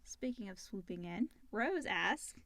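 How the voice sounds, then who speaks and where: A woman's voice: mid-range voice, lively intonation, a little slow, fairly bright tone, no room sound. A woman with a mid-range voice talks as a co-host in a casual, conversational style. Her voice sounds close and dry, with no room around it. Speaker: co-host reading out listener questions. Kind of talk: casual chat about an audio drama.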